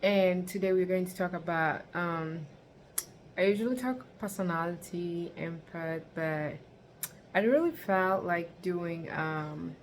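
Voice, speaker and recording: A woman's voice: lowish voice, takes it slowly, slight background noise. A young woman from Kenya, vlogging in a casual, rambling way with lots of fillers. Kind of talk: casual talk to camera.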